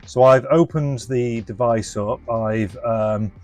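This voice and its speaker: A man's voice: mid-range voice, slow pace, a little mellow. A man casually presenting a hands-on product demonstration, explaining informally and thinking aloud as he goes. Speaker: presenter and reseller of restored retro electronics.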